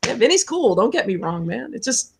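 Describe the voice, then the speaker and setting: A loud, chatty woman in her fifties hosting a podcast. Her voice sits a little high and she laughs easily. She talks casually and swears freely. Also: very animated, brisk, creaky, slight background noise. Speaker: podcast host.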